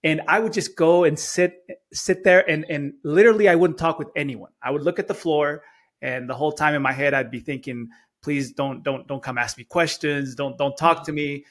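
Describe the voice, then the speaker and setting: Middle-aged man from chile, spanish his first language, slightly high voice, earnest storyteller, stumbles and repeats at starts. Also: steady tone, moderate pace, a bit bright. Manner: earnest, repeats words when starting phrases. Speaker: guest speaker. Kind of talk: storytelling in an interview.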